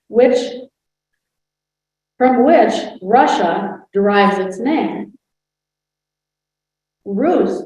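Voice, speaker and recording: A proud, witty 19th-century noblewoman, voiced by a woman tells her life story in a grand manner. The voice sits fairly high. It comes from a distance in a heavily echoing hall, with a dull, muffled sound. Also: a little mellow. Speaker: historical impersonator. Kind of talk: scripted first-person portrayal. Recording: roomy hall sound, far from the mic, dull.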